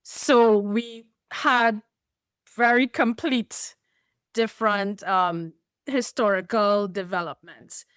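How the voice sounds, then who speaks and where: A woman from Trinidad and Tobago with a Trinidadian accent, explaining things in a measured way as a podcast guest. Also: medium pitch, steady tone, a bit bright, smooth, dry recording, clean studio sound. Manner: explanatory, measured, with light laughs. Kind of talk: podcast interview.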